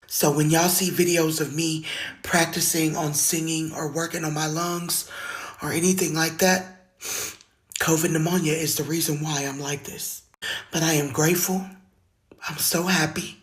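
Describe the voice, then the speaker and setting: Raspy low-voiced woman with a slight lisp, earnest first-person testimony into a phone. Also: steady tone, normal speed. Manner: earnest, heartfelt, plain-spoken. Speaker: singer sharing her story. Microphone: phone video.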